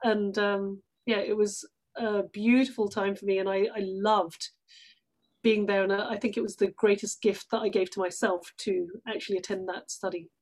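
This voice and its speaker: A woman's voice: medium pitch, fairly even intonation, moderate pace, darkish tone. Woman in reflective interview. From London, England. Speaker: guest, stage actress. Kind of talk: casual reflective interview talk.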